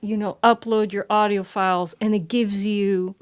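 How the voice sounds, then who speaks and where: A woman's voice: mid-range voice, steady tone, moderate pace, clean tone. A woman co-hosting a casual discussion, repeating words as she builds up her questions and points.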